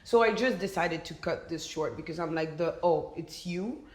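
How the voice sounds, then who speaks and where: Frank woman telling a story, lower voice. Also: moderate pace. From Belgium. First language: French. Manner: frank, animated storyteller, many fillers. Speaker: podcast guest. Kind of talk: casual conversation. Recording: roomy echo.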